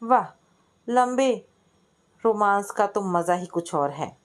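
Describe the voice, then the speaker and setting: A woman's voice: medium pitch, animated, slow pace. Playful young female cousin, voiced by a woman narrator: breezy, joking banter, storybook family drama.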